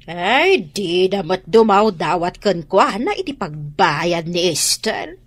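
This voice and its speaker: A woman's voice: on the low side, big pitch swings, slow, no echo, full character voice. A woman playing a fretful, concerned mother of grown children in a radio drama, with a northern Philippine accent. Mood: concerned, indignant.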